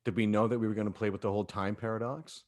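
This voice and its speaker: Low-voiced man hosting a chat. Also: little pitch movement, very fast, darkish tone. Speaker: host of a cast talkback. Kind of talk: casual panel discussion.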